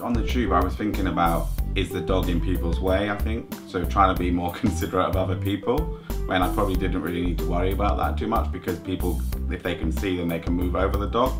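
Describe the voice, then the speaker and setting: A man's voice: low-pitched, steady tone, fast, loud music behind the voice. A man in his thirties plainly explaining guide dog training, interviewed indoors by a window. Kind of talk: interview talk.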